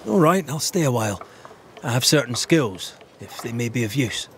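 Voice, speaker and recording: An actor playing a wry, stubborn Scottish banisher in a video game cutscene. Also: male voice, medium pitch, animated, moderate pace, slight background noise. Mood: measured. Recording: loud music behind the voice.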